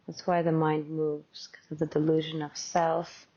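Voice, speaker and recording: Slow, low-voiced woman guiding meditation. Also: flat delivery, warm tone, dull audio. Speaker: meditation teacher. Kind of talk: guided meditation, softly instructive.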